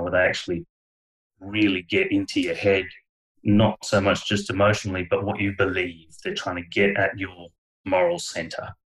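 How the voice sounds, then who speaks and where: A man's voice: low voice, poor audio. A middle-aged man, a podcast guest, telling his life story in a frank, meandering way, full of fillers and short laughs. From Australia. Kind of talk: candid conversational storytelling.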